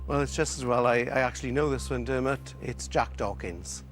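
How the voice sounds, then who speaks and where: A man's voice: medium pitch, normal speed, soft background music. A man in his fifties from Wales, a quiz contestant answering and thinking aloud in a TV studio. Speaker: quiz contestant, school teacher.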